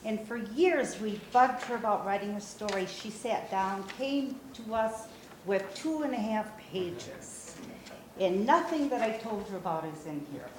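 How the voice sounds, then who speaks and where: A woman in her sixties from Brazil, a native Portuguese speaker, giving an informal talk about writing her memoir to a small group, conversational with many "uh" pauses. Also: medium pitch, expressive. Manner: conversational, frequent "uh" pauses, gestures while speaking. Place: small meeting room, indoors. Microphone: far camera. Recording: roomy echo, faint room noise.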